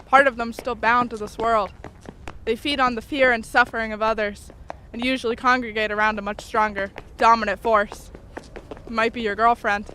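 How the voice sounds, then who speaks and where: A woman's voice: a little high, steady tone, normal speed, pushed and bright, slight background noise, low-quality recording. A woman playing an unfazed, bossy young ghost-fighting companion in an audio horror drama, speaking in a cool, matter-of-fact, commanding way.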